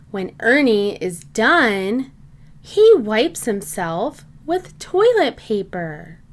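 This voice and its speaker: Slow, lilting woman reading to children. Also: high voice. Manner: simple, deliberate phrasing for young children. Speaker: children's book reader. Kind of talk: read-aloud children's storybook narration.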